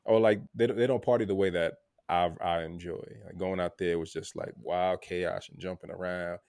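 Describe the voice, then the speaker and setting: A man co-hosting a podcast in a casual, reflective way, halting and repeating words, often checking in with "right?". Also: fairly even intonation, a little mellow, no room sound, studio quality. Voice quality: gravelly low voice. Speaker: podcast co-host.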